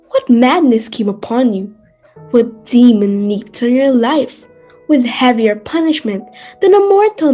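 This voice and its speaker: Woman as slow, horrified Greek chorus leader. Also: fairly high pitch, a little mellow. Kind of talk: dramatic classical tragedy reading.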